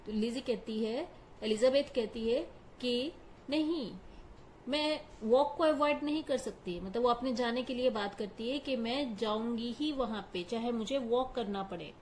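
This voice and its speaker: A woman's voice: on the high side, a little slow, darkish tone, slight background noise. A woman teacher patiently explaining a story line by line, glossing each phrase in simple words. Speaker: English teacher.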